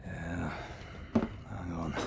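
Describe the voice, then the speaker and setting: An actor playing a bitter, aging resistance fighter in a video game, foul-mouthed, swinging between muttering and shouting. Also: male voice, low-pitched, completely flat delivery, extremely slow, gravelly, background noise, full character voice. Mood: weary, muttering. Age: middle-aged. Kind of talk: cinematic video game acting.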